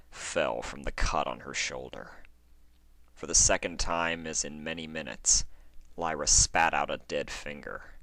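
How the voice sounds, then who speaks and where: A man reading a horror story aloud as an amateur narrator, in a measured, suspenseful storytelling style. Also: rumbling low, pushed and bright, no room sound. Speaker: amateur fan fiction narrator and channel host. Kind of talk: dramatic horror audiobook narration, then casual sign-off. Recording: dry close recording with faint music underneath.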